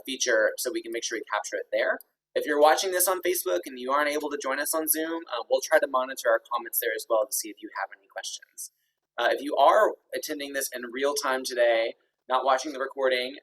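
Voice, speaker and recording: A man in his thirties with a somewhat husky voice hosts a virtual info session over a video call. He sounds upbeat and friendly, with plenty of ums and uhs. He is a little distant from the mic. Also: mid-range voice, a bit bright. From Kentucky. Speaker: executive director hosting an info session.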